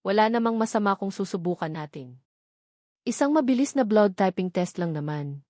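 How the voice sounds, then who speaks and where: A woman's voice: medium pitch, lively intonation, normal speed, mellow, no echo, clean studio sound, natural voice. A woman narrator playing a serious, concerned hospital doctor in a story, formal and pressing. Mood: insistent.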